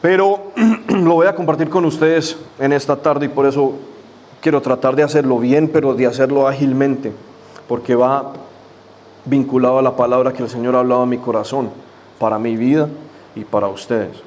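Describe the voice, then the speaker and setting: A man's voice: mid-range voice, steady tone, normal speed, a little mellow. A man leading a church service, first praying fervently with repeated invocations, then telling a personal story in a loose, conversational way. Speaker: pastor. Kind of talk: sermon with opening prayer and testimony.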